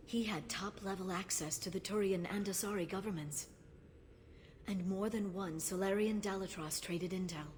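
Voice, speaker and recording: A woman voicing a gentle, earnest alien information broker in a video game, speaking sincerely and a little hesitantly. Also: mid-range voice, a little hiss or hum, natural voice. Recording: loud music behind the voice.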